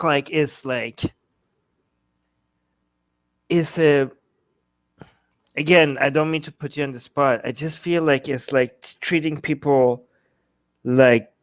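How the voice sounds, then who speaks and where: A man with a fairly high voice talks as co-host of a live discussion show. He is casual and opinionated, searching for words and repeating phrases for emphasis, in a dry recording with little room sound. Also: dry recording. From Iran.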